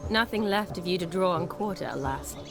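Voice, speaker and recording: A woman's voice: on the low side, lively intonation, fairly quick. An actress playing a poised, wry woman in a video game, teasing and faintly flirtatious in manner. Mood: amused, teasing. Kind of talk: video game character acting. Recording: music behind the voice.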